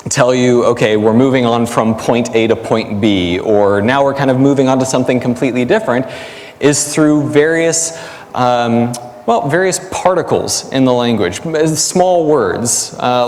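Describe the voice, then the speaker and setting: A male academic answers interview questions in a big reverberant space. His voice sits at an average pitch, and he works through explanations aloud, leaning on everyday examples like a teacher with students. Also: darkish tone. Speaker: academic guest, biblical language scholar and teacher. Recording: echoey hall, long reverb.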